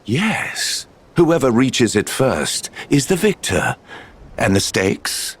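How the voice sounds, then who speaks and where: An actor playing a suave, calculating gambler villain in a video game, with a genteel, smugly theatrical manner. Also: male voice, medium pitch, huge pitch swings, slow, husky, exaggerated voice. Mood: suave, playful. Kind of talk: video game cutscene acting.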